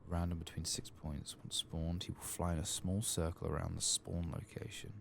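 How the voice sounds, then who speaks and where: A man giving soft-spoken ASMR game commentary, murmuring and at times drifting toward a whisper. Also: deep voice, flat delivery, normal speed, gravelly, a little hiss or hum. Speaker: gaming video host.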